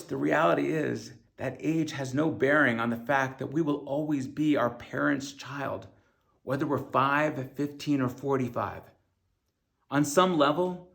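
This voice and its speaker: A man's voice: medium pitch, vocal fry. A middle-aged man reading his own memoir as an author, in an earnest, reflective way. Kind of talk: scripted first-person memoir narration.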